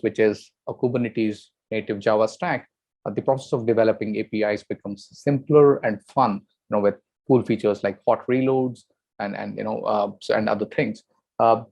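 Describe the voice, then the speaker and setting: Cast: man, webinar host, hesitant and filler-heavy, lowish voice, dull dry call audio. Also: a little mellow, no room sound. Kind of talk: webinar introduction.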